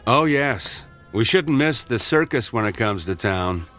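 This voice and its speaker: Sceptical doctor for radio drama: mid-pitched, expressive, dryly disapproving. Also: male voice, measured pace, soft background music. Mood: wry. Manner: dry, reproachful. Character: sceptical, principled, wry male doctor. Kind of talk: theatrical radio drama acting.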